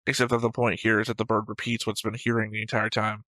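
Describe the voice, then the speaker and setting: A man's voice: lowish voice, completely flat delivery, fast talker, pushed and bright, dry recording. A man co-hosting a reaction show, chatting casually about a series in a flippant, argumentative way. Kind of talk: casual discussion of an anime series.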